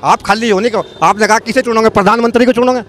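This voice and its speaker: A man's voice: high voice, fairly even intonation, rapid-fire, fairly bright tone. A man from the public arguing in a heated street interview, repeating himself and challenging the other person. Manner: argumentative, repetitive, challenging. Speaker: member of the public being interviewed.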